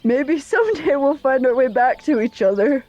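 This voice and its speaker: An actress playing a tender, sorrowful young woman in an audio drama, speaking softly and hesitantly. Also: high voice, expressive, moderate pace, highs cut off. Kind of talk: naturalistic audio drama acting.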